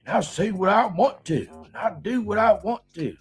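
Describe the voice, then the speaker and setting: A man's voice: high-pitched, lively intonation, moderate pace, faint music behind. A man hosting a casual commentary stream, rambling with restarts and mocking remarks.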